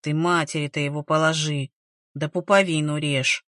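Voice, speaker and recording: Woman doing a low grumbling old village woman. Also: fairly even intonation, moderate pace, fairly bright tone, no room sound, clean studio sound. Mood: grumbling scorn. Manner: grumbling, scornful. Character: grumbling older village woman, suspicious and quarrelsome.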